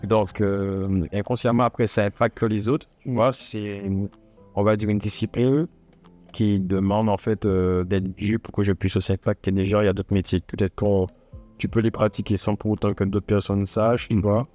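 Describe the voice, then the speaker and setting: Lowish-voiced man chatting informally. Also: level tone, normal speed, warm tone. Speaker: podcast guest, comedian. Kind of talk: casual conversation. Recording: music behind. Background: music.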